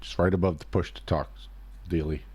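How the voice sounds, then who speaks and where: A man's voice: sits low, steady tone, brisk, darkish tone, breathy edge. A man hosting a casual voice-chat discussion, pressing the others with questions and cutting in to get at the point. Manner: probing, interrupts to press for answers. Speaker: host. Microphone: computer mic in a voice chat.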